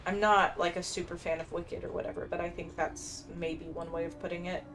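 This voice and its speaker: A woman's voice: fairly low pitch, little pitch movement, brisk, a bit bright, a little hiss or hum. A woman giving casual, slangy, meandering commentary as she plays a video game. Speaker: gameplay streamer. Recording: game music faintly behind.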